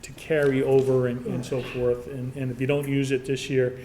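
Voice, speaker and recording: A man on a town committee speaking haltingly, repeating words as he goes. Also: mid-range voice, little pitch movement, dark tone, slight background noise, poor audio. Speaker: committee member. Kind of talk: meeting discussion. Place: town meeting room.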